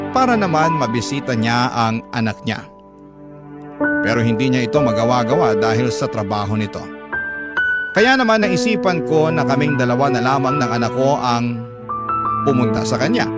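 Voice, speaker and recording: An actor playing a husband and father, telling his story of hardship as measured first-person narration. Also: mid-range voice, lightly acted. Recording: loud music bed under the voice.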